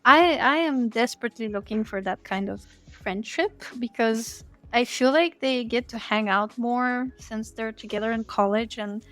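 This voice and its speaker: A woman hosting a podcast interview in a casual, effusive, gushing way. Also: on the high side. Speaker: podcast host interviewing game creators.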